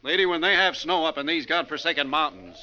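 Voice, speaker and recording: An actor playing a blustery, complaining, talkative American bus passenger in a 1940s radio drama. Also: male voice, on the high side, fast talker, bright, forceful tone. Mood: grumbling. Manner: blustery, complaining, long-winded. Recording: dull, faintly noisy vintage radio recording.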